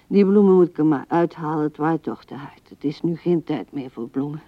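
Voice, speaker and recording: An actress playing an old widow who muses tenderly and haltingly to her late husband in a radio drama, often dropping to a hush. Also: sits low, dry recording, close to an everyday voice. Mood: tender, wistful. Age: older. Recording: dull 1970s radio recording.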